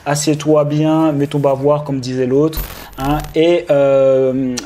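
A man in his thirties hosting an interview over a video call, energetic and chummy with his viewers. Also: a little high, slow, slight background noise. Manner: energetic, chummy, calls viewers "les gars". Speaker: host. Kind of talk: casual interview intro. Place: home room, indoors.

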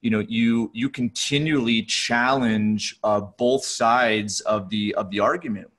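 A middle-aged man hosting a conversational podcast interview over video call, speaking haltingly and rephrasing as he goes. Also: fairly low pitch, steady tone, fairly bright tone. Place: small furnished room at home or office, on a video call.

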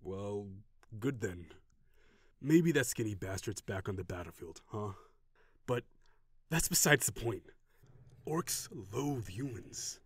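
A man playing a low-voiced, gruff, proud dragon. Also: animated, takes it slowly, pauses often, no echo, studio quality, full character voice. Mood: gruff, questioning. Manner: brusque, defensive, grudging, sighing. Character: proud, gruff, tsundere male dragon warrior. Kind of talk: acted audio roleplay.

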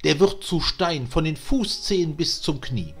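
A man's voice: on the high side, animated, unhurried, breathy edge, faint music behind, heavily acted. A man voicing a knowing, ominous talking raven in a fairy-tale reading. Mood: foreboding.

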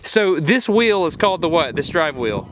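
Lively Southern man explaining with excitement. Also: a little high, normal speed, very noisy. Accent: Southern American. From Alabama, US. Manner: curious, excitable, asks many questions. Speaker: science video host. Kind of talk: enthusiastic explainer narration. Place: home office; outdoor desert site.

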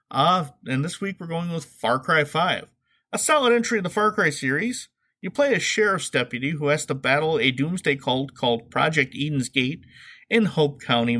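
A man's voice: medium pitch, a bit bright. Sarcastic man hosting a podcast. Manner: sarcastic, swearing, emphatic asides. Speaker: podcast host. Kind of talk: comedic, opinionated podcast commentary, semi-scripted.

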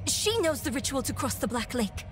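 An actress playing an earnest, determined young scholar in a dramatic video game cutscene, thoughtful and intent. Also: female voice, high voice, sing-song, moderate pace, slight background noise. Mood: urgent, troubled.

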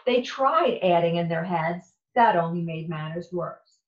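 A woman reads a children's storybook aloud at an unhurried pace. Her pitch moves up and down playfully, and the sound is dull with room echo. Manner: animated, deliberate, playful. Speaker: storybook reader. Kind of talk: expressive children's picture book read-aloud. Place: indoor room at home.

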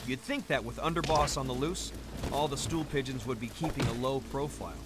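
A male actor as a costumed crimefighter in a video game. He sounds level-headed and conversational at a middling pitch. Heavy rainy street noise sits behind him. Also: brisk, lightly acted. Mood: calm, alert. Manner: conversational, plain-spoken. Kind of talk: video game character acting.

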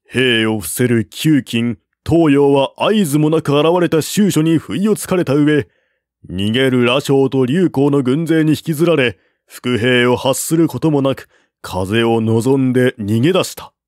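A man narrating a classical war novel as an audiobook, in a measured, even storytelling manner. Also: mid-range voice, dry recording, clean studio sound.

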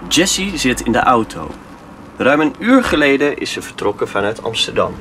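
A man with a Netherlandic Dutch accent playing a suspenseful narrator in a scripted horror audio play, telling it deliberately. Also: mid-range voice, sing-song, a bit bright, a little hiss or hum.

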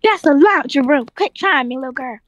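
A woman's voice: high voice, animated, brisk, dry recording, muffled recording. A woman playing a feisty, stubborn elderly grandmother in an improvised online roleplay, sassy and combative. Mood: cranky.

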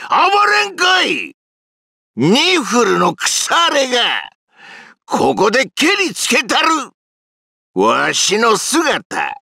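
A man playing a brash, violent fire god in a Japanese game, gravelly, roaring threats with a Kansai accent. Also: extremely high pitch, pushed and bright, dry recording, clean studio sound, exaggerated voice. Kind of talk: theatrical Japanese game villain lines.

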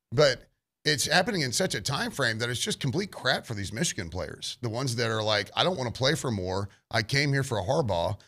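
A man's voice: mid-range voice, a bit bright. A man co-hosting a sports radio show, talking in a heated, rambling, opinionated way.

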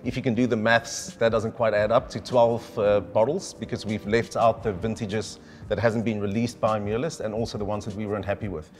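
A man's voice: on the low side, flat delivery, fairly quick, a little mellow. A middle-aged man, speaking as a wine specialist in an enthusiastic, explanatory way, standing in a decorated indoor room. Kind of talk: conversational presentation.

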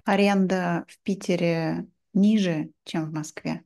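A woman in her thirties hosting a simplified interview for learners, asking short, clearly phrased questions into a desk microphone. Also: fairly low pitch, fairly even intonation, unhurried, dark tone. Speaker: host, interviewer. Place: home room, video call.

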